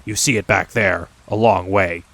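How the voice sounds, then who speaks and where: Male narrator as older goblin dad, rough deep voice, bossy, unhurried, storybook character. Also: fairly even intonation, fairly bright tone, no room sound. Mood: gruff, unhurried.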